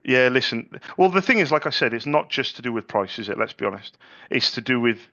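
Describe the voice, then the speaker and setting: A middle-aged man chatting on a sales call at an ordinary mid pitch. He talks freely, doubling words and restarting his sentences. The recording sounds dull and lo-fi. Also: lively intonation, fast talker, bright, forceful tone, dry recording. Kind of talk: casual sales phone call.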